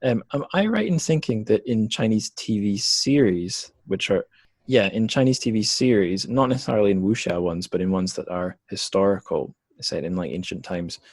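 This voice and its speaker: A man's voice: on the low side, moderate pace, slightly rough, dry recording, studio quality. A man hosting a casual podcast interview, asking questions in a tentative way and often rephrasing them as he goes.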